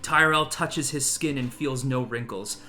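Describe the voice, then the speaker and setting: A man's voice: medium pitch, measured pace, bright, forceful tone, soft background music. A man reading stage directions aloud in a casual, jokey, theatrical table read. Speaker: podcast co-host reading a script's narration.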